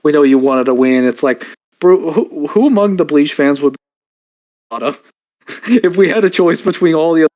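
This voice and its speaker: A man co-hosting a casual stream, joking, laughing often and cutting in with quick repeated words. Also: a little high, darkish tone. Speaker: stream co-host. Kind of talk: casual banter reading a blog. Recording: slightly dull lo-fi sound.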